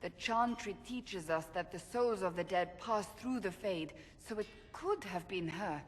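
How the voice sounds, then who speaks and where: An actress playing a stern, devout, earnest warrior in a video game, speaking formally. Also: female voice, medium pitch, measured pace, lightly acted. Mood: pensive, earnest. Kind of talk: video game dramatic acting. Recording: long reverb, background noise.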